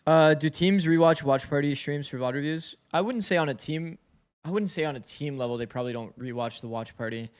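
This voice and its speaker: Male streamer, slightly raspy, a touch high, chatty analyst who thinks out loud. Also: brisk. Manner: talkative, thinks aloud, chats with viewers. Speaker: streamer co-streaming an esports match. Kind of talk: casual match analysis.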